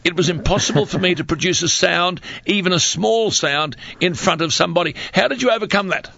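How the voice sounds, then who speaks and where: A man's voice: sits high, a little fast, creaky, pushed and bright, dry recording, muffled recording. A man hosting a radio interview, effusive and enthusiastic. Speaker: radio host.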